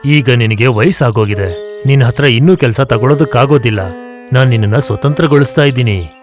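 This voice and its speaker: A man playing a kindly, grateful farmer in a children's cartoon, gentle and earnest. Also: mid-range voice, a little fast, faint music behind, natural voice. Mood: tender, grateful.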